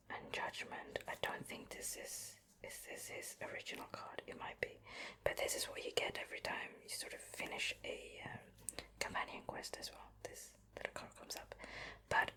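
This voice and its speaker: A native Swedish-speaking woman whispering a soft, breathy ASMR show and tell in a casual, rambling, hesitant way. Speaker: ASMR video host.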